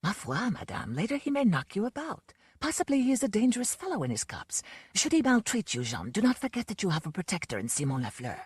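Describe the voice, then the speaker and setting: A woman voicing a swaggering male circus bareback rider who talks in a low, conspiratorial whisper. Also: big pitch swings, fast, slightly rough, no echo, studio quality. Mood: sly.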